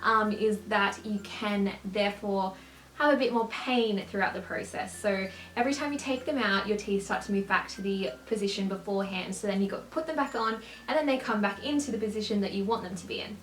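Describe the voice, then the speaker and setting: A woman's voice: medium pitch, a little hiss or hum. Young woman, slight lisp, chatty and upbeat, roomy echoey recording. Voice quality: slight lisp from clear aligners. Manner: chatty, upbeat, quick to laugh. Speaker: vlogger. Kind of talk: casual chat to camera. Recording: roomy echo.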